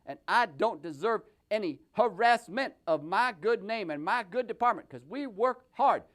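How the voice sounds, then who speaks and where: Puppet-show sheriff, played by a man: indignant, emphatic, forever justifying himself, fairly high voice. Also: animated, normal speed, a bit bright. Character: self-righteous, indignant male county sheriff puppet.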